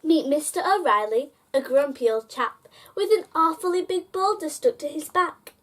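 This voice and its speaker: Female storyteller with a high-pitched voice for children's bedtime picture books, engaging young listeners directly. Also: lively intonation, moderate pace. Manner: addresses child listeners with prompting questions. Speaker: host reading children's picture books aloud.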